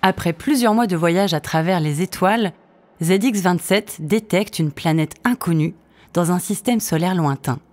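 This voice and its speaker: A woman's voice: on the low side, normal speed, darkish tone, soft background music. A woman narrating a scripted science-fiction audio story.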